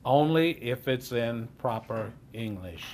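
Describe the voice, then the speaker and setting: An actor playing a stern, sardonic family court judge in a filmed courtroom drama, with noisy room sound. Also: male voice, medium pitch, animated, takes it slowly.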